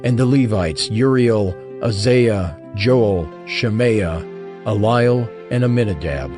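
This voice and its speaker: A man narrating scripture in a formal, deliberate scripted style. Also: lowish voice. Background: music with plucked strings.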